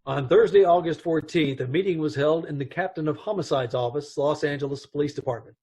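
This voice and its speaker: A man reading the announcer's lines for a 1950s-style radio drama in a formal, measured way. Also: a little high, a little mellow, husky, faint music behind. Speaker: show announcer.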